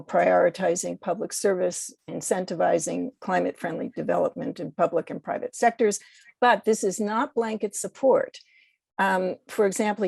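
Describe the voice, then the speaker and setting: Female guest, considered commentary, deliberate delivery. Husky, dry close sound. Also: lowish voice, mellow, dry recording. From United States. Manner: measured, deliberate, carefully worded. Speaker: interview guest, poet. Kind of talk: considered political commentary in conversation.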